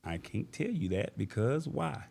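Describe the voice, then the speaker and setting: A man's voice: mid-range voice, big pitch swings, a little slow, creaky, darkish tone. A man hosting a tech livestream, talking informally and folksily to his viewers in a casual, chatty way. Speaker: host of a tech livestream show. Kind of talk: casual livestream chat, reading comments and news.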